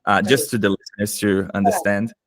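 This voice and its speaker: A middle-aged man from Brazil with a Brazilian Portuguese accent, talking eagerly as a podcast guest and often pausing to search for words. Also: lowish voice, steady tone. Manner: enthusiastic, searching for words, frequent fillers. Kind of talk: casual interview conversation.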